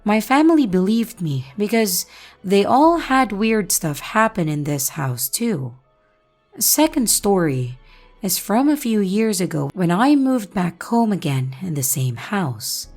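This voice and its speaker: A woman hosting and narrating creepy first-person stories in a slow, expressive way. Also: medium pitch, soft background music. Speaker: channel host and narrator.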